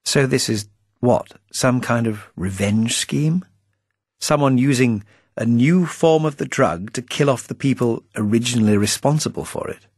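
A man's voice: medium pitch, normal speed, a little mellow, slightly rough, dry recording, pitched above the performer's own voice. A man voicing a debonair older secret agent in a spy story, weary and testy, with a dry, arch, probing manner. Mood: weary, suspicious.

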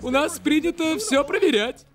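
An actor voicing an excitable, cheeky small male bird sidekick in a dubbed cartoon, with a shrill, jokey manner. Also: normal speed. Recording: cartoon soundtrack with loud music.

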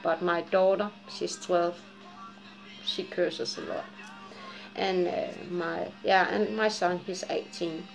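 A woman's voice: fairly low pitch, steady tone, takes it slowly, creaky, soft background music, low-quality recording. A woman in her forties with a Japanese and Danish accent, chatting candidly to a webcam at home in a dim living room, rambling, laughing often and cursing freely. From Japan and Denmark. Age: 40s. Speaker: vlogger. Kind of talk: casual chat to camera.

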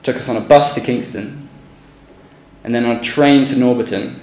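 A man's voice: medium pitch, steady tone, darkish tone. Young man speaking sincerely from a podium. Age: young adult. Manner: measured, sincere, some hesitant restarts. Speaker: former student speaker. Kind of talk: prepared speech at a podium. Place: school hall stage. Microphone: podium microphone. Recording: echoey hall, faint background noise.

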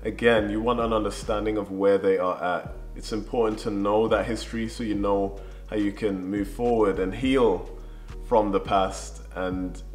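Low-voiced young man giving advice. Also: steady tone, normal speed. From Birmingham, England. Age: young adult. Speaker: co-host of a relationship advice vlog. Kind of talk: casual advice talk to camera. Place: living room, on a sofa. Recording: echoey room. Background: music.

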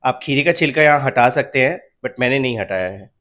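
A young man narrates a cooking video in a calm, even, instructional manner. His voice sits at an ordinary middle pitch, and he explains each step plainly for viewers following along at home. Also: fairly quick. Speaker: cooking channel host. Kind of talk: recipe voice-over narration.

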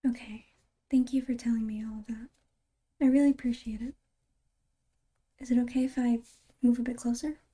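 Woman playing a very soft, mellow caring girlfriend. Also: on the high side, level tone, close to an everyday voice. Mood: gentle concern. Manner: soft-spoken, often breathy and near whisper, halting and tender. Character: caring, gentle, reassuring girlfriend. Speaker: ASMR roleplay performer. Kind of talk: ASMR girlfriend comfort roleplay.

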